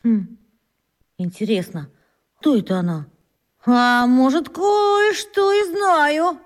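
Squeaky, boastful little schoolboy. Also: female voice, slow. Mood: smug, teasing. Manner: petulant, boastful. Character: cocky little schoolboy, boastful, whiny, full of bluster. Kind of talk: children's radio play acting.

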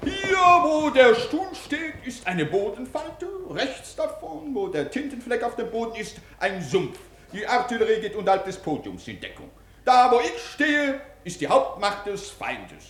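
A man acting a pompous, excitable prison director in a 1950s German radio play, declaiming and commanding like a schoolmaster. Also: very high voice, unhurried, full character voice. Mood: pleased, instructing. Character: pompous, excitable prison director drilling inmates in war games. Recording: roomy, faintly noisy 1950s radio recording.

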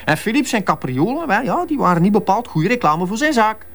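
Talkative jovial doctor, Flemish accent, higher male voice, swooping melody, radio play. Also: very animated, brisk, a bit bright, heavily acted. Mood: chatty, confiding. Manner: chatty, adds tag questions and coaxing interjections. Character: chatty, jovial male doctor, fan of crime novels. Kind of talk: naturalistic Flemish radio drama acting.

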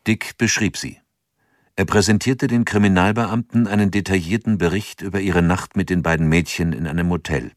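A man's voice: sits low, moderate pace, no room sound, clean studio sound, natural voice. A male narrator of a radio drama, reading in a sober, matter-of-fact way. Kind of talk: scripted narration.

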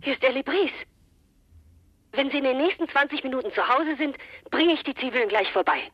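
A woman's voice: sits high, expressive, a little fast, dry recording. An actress playing a friendly, eager young woman caller in a radio play, chatting through a telephone filter. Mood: chatty, friendly. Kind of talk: 1980s German radio play acting. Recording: dull, dry telephone sound.

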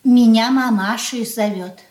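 A woman's voice: on the high side, unhurried, darkish tone, natural voice. An actress playing a homely, deferential middle-aged merchant mother in a radio drama, plain-spoken and stumbling over her words. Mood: humble, hospitable. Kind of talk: stage-style radio drama acting. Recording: roomy studio echo.

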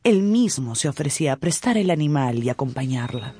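A narrator telling a literary story in a measured, dramatic radio style. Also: medium pitch, very animated, warm tone, husky, no echo, clean studio sound. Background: music.

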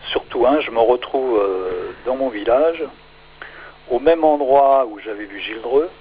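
An older man, a singer-songwriter, talking in a relaxed radio interview, hesitant and full of fillers. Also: mid-range voice, a little hiss or hum, poor audio. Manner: hesitant, many pauses and fillers.

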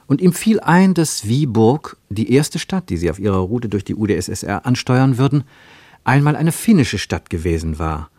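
A man narrating a literary radio play in a measured, deliberate storytelling way. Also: medium pitch, a little mellow, dry recording.

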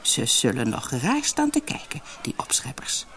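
A storyteller voicing a poor, excitable old furniture maker who grumbles and mutters in a fairy-tale audiobook. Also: medium pitch, normal speed, in a whisper, pitched below the performer's own voice. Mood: gleeful muttering. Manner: muttering, grumbling under his breath.

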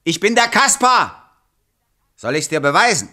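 An actor playing a cheeky, naive, boastful clown in a fairy-tale radio play, exclamatory and clownish. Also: male voice, high voice, big pitch swings, unhurried, bright, forceful tone, exaggerated voice. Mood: eager, insistent. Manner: exclamatory, clownish, nonsense catchphrases.

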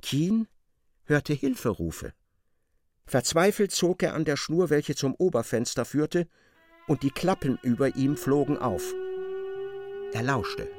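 A man's voice: fairly high pitch, expressive, takes it slowly, pauses often, a little mellow, dry recording. A man narrating a literary radio drama in a scripted, storytelling style. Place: dry studio.

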